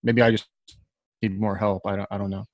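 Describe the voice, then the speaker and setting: A man chatting casually as an author on a podcast panel, halting and self-deprecating. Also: on the low side, flat delivery, a crowd in the background. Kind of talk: casual unscripted chat. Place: remote group video call.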